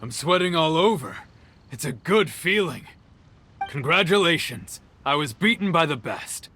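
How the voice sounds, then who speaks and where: Bright, forceful young fighter. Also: male voice, a little high, lively intonation, unhurried, slight background noise. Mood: fired up. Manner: blunt, short sentences. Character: earnest, plainspoken young male tournament fighter, humble. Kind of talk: anime-style game voice acting. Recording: faint music behind. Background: music.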